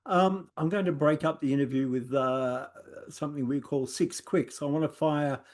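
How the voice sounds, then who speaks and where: A man's voice: on the high side, normal speed. An Australian man hosting an interview, asking questions in an easygoing way with many small hesitations. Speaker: interviewer, host.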